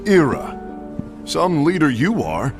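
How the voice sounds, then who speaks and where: A hot-tempered warrior man in an animated fantasy. He talks slowly and bluntly in a slightly high male voice, with big swings of pitch. He is proud and confrontational. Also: over music, full character voice. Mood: accusing. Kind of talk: animated fantasy film acting.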